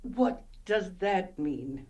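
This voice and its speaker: A woman's voice: on the low side, lively intonation, extremely slow, a little mellow, exaggerated voice. An actress playing a worn, tender, worried old village mother in a radio play, with a coaxing, motherly manner. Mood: puzzled.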